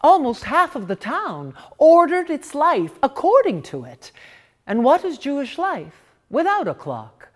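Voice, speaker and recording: A woman reading a literary story aloud in an expressive, theatrical and deliberate manner. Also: sits high. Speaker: story reader.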